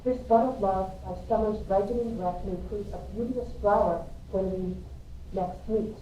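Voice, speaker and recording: A woman's voice: mid-range voice, normal speed, mellow, dull audio, close to an everyday voice. A woman reading the part of an earnest, anxious young girl in love in a Shakespearean verse drama. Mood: tender, reluctant. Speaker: amateur reader in a school play. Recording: roomy, distant mic, faint noise.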